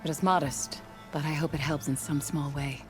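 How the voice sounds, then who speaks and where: Woman voicing a grieving tribeswoman, very low voice, formal, hesitant, game cutscene. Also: fairly even intonation, moderate pace, music in the background, close to an everyday voice. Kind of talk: video game cutscene acting.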